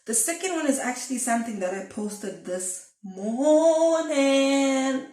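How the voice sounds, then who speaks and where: A woman's voice: sits high, lively intonation, slow, darkish tone. A woman chats casually and confessionally, rambling and thinking aloud as she questions herself. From Zimbabwe. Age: twenties. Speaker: video blogger. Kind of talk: casual confessional chat to camera. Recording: echoey room.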